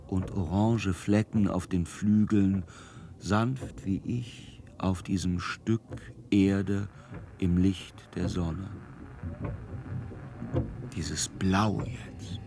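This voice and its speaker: A man acting a rapt nature lover in a poetic radio drama, with measured, reverent delivery. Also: a little mellow. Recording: clear ambient rumble behind voice.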